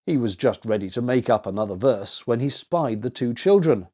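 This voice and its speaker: A male storyteller reads a children's tale expressively. His medium-pitched voice moves with lively, varied intonation. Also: normal speed, husky.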